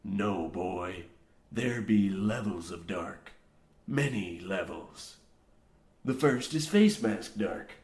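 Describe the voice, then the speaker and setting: An actor playing a grizzled, half-mad old coal miner, boastful and ominous, rambling theatrically in rustic archaic phrasing in a comic old-time radio horror play. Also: male voice, low-pitched, animated, slow, darkish tone, exaggerated voice. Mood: menacing, dramatic. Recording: roomy, echoing sound.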